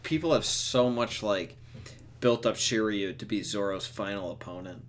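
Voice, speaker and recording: Guy hosting a laid back fan chat, kinda low voice, informal n teasing. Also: moderate pace. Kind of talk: casual anime fan discussion.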